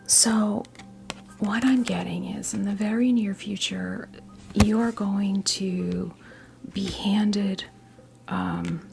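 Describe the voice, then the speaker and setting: A woman in her fifties gives an ASMR tarot reading in a breathy whisper, halting often as she reassures the listener. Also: mid-range voice. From New Jersey, United States. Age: 50s. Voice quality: breathy whisper mixed with soft creaky voice. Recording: music playing behind the voice. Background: music.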